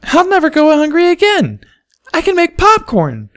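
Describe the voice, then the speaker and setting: A man voicing an excitable little girl unicorn foal, eager and greedy, in a storybook reading. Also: very high voice, moderate pace, voice pushed up, exaggerated voice. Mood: triumphant. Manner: excitable, exclaiming. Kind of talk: storybook character voice.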